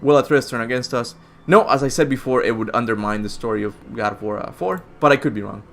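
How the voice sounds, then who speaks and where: A man's voice: mid-range voice, quick pace, a little hiss or hum. A young man from Cuba hosting a casual gaming Q&A, rambling and self-deprecating, laughing at his own slips. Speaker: gaming channel host answering viewer questions. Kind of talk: casual livestream Q&A chat. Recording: faint music behind.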